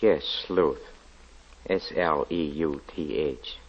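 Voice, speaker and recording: A muffled old radio recording of a brooding lodger with a low voice. He speaks haltingly, circling back over his words, like a weary religious zealot in a 1940s mystery play. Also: breathy edge, heavily acted. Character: soft-spoken, weary, brooding, fanatically religious male lodger. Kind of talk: 1940s radio drama acting.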